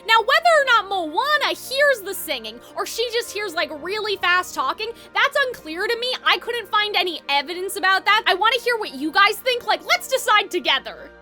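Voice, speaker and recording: A woman's voice: very high-pitched, pushed and bright. A woman giving opinionated, conversational video essay commentary as the host. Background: background music.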